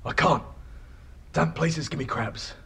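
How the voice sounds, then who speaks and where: A man's voice: fairly high pitch, takes it slowly, creaky, fairly bright tone, far from the mic, heavily acted. A man playing an impatient, blunt guide in a comedy fantasy audio drama, urgent and exasperated. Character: impatient, blunt male guide through collapsing dimensions.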